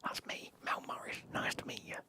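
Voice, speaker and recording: A man, whispering as he banters with his live stream chat and swears now and then. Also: a little fast.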